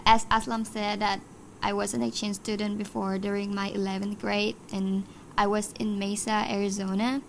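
A young woman from Thailand, nineteen, talks as a podcast guest. Her voice has a gravelly edge, and a faint hiss sits behind it. She chats casually, with plenty of fillers. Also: mid-range voice. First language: Thai. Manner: chatty, laughs often, many fillers. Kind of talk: casual interview chat.

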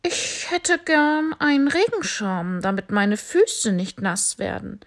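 A woman voicing a cheerful, muddled bat in a children's picture-book story, with a high, chirpy manner. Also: takes it slowly, gravelly. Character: odd, cheerful bat who sees everything upside down.

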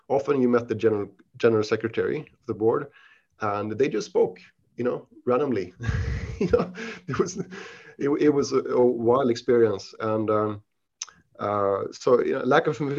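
Swedish-accented man, halting and thoughtful. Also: medium pitch, a little mellow. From Sweden. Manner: halting, many restarts and fillers. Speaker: podcast guest, investment researcher. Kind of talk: casual interview answers. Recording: dull lo-fi audio.

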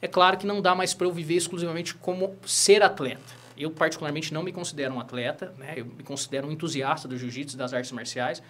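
A man's voice: a little high, fast talker, hardly any pauses. A Brazilian man in his thirties, a lawyer and jiu-jitsu teacher, chatting as a guest and telling stories with constant tag questions. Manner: animated storyteller, frequent "né?" tags. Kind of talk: casual interview chat.

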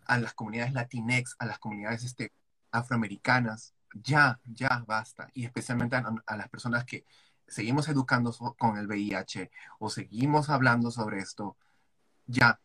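A man's voice: medium pitch, fairly even intonation, moderate pace, pushed and bright, husky. A man speaking with passion against hate and stigma, repeating words for emphasis. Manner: impassioned, repetitive emphasis. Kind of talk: casual panel conversation.

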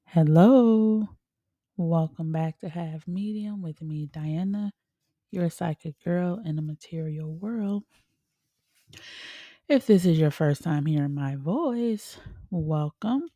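A woman's voice: on the low side, takes it slowly, dark tone, no echo. A woman hosting her podcast in a casual, chatty way, a bit congested from a sinus infection and laughing often. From Chicago, USA. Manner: chatty, laughs often, calls listeners honey and y'all. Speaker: podcast host. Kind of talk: casual chat.

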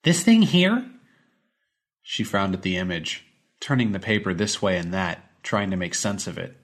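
A man's voice: low voice, steady tone, normal speed, fairly bright tone. A man reading a scripted short story as an audiobook narrator.

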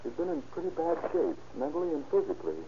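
A man's voice: on the high side, expressive, fast talker, natural voice. An actor playing an uneasy but cooperative man being questioned, in 1930s radio acting style. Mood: puzzled, cooperative. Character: uneasy, cooperative civilian man, son of a suspect. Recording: muffled, noisy old radio recording.

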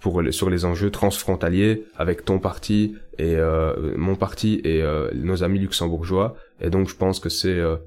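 A young man from Belgium with a fairly low voice hosts a political talk podcast. He argues with conviction and lifts his voice to stress each key point, in a relaxed conversational way. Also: moderate pace, hardly any pauses. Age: young adult. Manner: earnest, emphatic, rises in pitch on key points. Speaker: podcast host.

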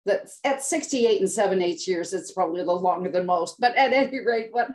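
A woman's voice: mid-range voice, lively intonation, fairly quick, a little mellow. An older American woman, a health coach guest, telling a personal story with dramatic flair and acted-out quotes. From United States. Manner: dramatic, acts out quotes with voice shifts. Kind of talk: emotional storytelling in interview.

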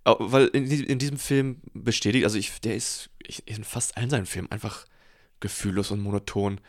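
A man's voice: on the low side, fairly even intonation, a little fast. A man co-hosting a film podcast, chatting casually about movies. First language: German.

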